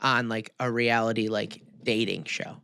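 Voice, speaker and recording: A man's voice: lowish voice, fairly even intonation, measured pace, vocal fry, bright, forceful tone, smooth. An American man from the Midwest chatting casually as a podcast co-host, often questioning and self-deprecating. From Midwestern United States. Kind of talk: casual chat.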